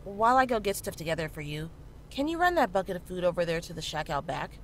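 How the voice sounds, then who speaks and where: Woman playing calm straight-talking healer lady in a horror game, kinda low voice, noisy background. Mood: reassuring, practical. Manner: measured, matter-of-fact, reassuring. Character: calm, frank female town healer in horror story. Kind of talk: visual novel character dialogue. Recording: clearly audible background noise, ambience.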